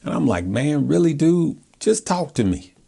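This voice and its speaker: A man's voice: on the high side, very animated, moderate pace, vocal fry, dark tone, breathy edge. An American man talking casually and informally to his viewers as a stock video host, in a chatty, colloquial way. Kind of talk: casual chat, giving stock commentary from notes.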